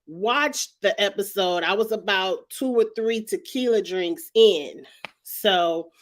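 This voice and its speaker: Young woman with a slight cold rasp, loose chatty vlog delivery, recapping reality TV. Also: mid-range voice, fairly bright tone. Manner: chatty, laughs and clears throat often. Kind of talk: casual vlog chat.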